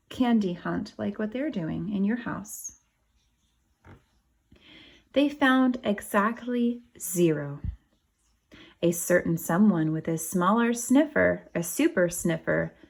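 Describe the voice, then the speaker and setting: A woman reading a children's picture book aloud as a teacher, pausing to explain things and ask the children questions. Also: medium pitch, a little mellow. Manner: explains to young children, asks them questions. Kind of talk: animated storybook read-aloud with asides to children.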